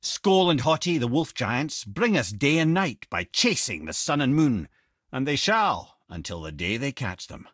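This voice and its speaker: A clever old Scottish talking-head advisor from a video game. He is an eloquent, wry storyteller with a husky grain and very lively pitch. The sound is close and dry, with no room to it. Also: measured pace, no room sound, exaggerated voice. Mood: grave storytelling. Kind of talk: video game character voice lines.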